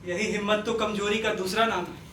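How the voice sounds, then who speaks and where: A kindly middle-aged father, acted by a man in a stage play. He speaks in a measured, forgiving and reassuring manner. The recording is echoey and distant, with faint noise behind. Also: high-pitched, fairly even intonation, sharp tone. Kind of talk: Hindi stage play acting.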